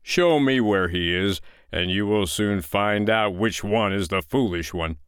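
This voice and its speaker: A man's voice: fairly low pitch, smooth, no echo, studio quality, natural voice. A man voicing a huge, boastful, impatient giant in a storybook tale, roaring and growling his words. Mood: roaring, angry. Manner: roaring and growling, slow drawn-out speech.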